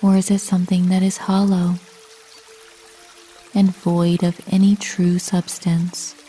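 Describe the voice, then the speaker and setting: A woman narrating a scripted sleep meditation like a bedtime story, calm and soothing, with measured delivery and long pauses. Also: lowish voice, slightly rough, faint music behind.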